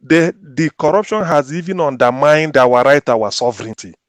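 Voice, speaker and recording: A man's voice: on the high side, moderate pace, no echo. A man arguing emphatically on a panel, often stumbling and restarting his words. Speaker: panel guest. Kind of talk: heated panel discussion.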